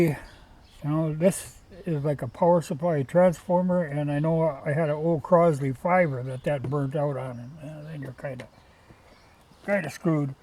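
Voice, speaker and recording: A man's voice: on the high side, dark tone. A man chatting in a folksy, rambling way in a casual, unscripted show-and-tell. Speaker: vlogger showing old radios from a junk collection.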